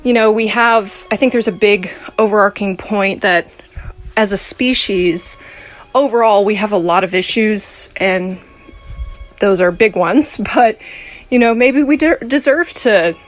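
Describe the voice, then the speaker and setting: A woman speaks at a medium pitch with music behind her. She sounds earnest and appreciative, like a listener recording personal commentary for a podcast. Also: unhurried. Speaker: podcast listener giving feedback.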